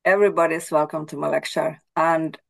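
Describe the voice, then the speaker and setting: Casting: woman guest, low voice, native Swedish speaker, measured and hesitant. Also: steady tone, creaky. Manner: thoughtful, hesitant with restarts. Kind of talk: casual interview.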